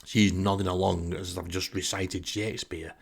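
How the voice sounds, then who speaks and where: A man's voice: low-pitched, little pitch movement, normal speed, creaky, slightly rough. A middle-aged man with a Lancashire accent from northern England, hosting a podcast with a wry, self-deprecating, grumbling comic monologue. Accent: Northern English, Lancashire. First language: English. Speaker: podcast host. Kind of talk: comic first-person monologue.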